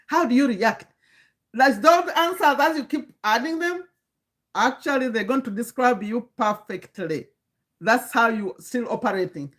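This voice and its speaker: Earnest woman in her fifties. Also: fairly high pitch. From Uganda. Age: 50s. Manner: earnest, lecturing, many restarts, greets viewers mid-sentence. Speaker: livestream host and community coach. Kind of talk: live-stream talk to chat viewers.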